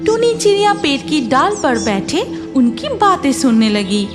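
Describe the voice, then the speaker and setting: High, animated woman narrating a children's story. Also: unhurried, music in the background.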